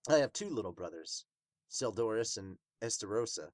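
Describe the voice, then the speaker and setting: A man's voice: fairly low pitch, animated, moderate pace, dry recording, clean studio sound, natural voice. A man voicing a reserved former demon commander in a manga dub, speaking in an understated, serious way. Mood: reluctant. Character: male captain, laconic, reserved older brother and former commander.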